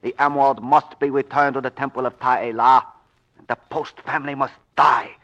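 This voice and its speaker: A man playing a menacing older Chinese villain in a 1940s radio drama, speaking in slow, formal pronouncements. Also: mid-range voice, steady tone, fairly bright tone, close to an everyday voice. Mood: cold, commanding. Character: sinister, commanding older Chinese uncle, cult villain. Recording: muffled 1940s radio recording.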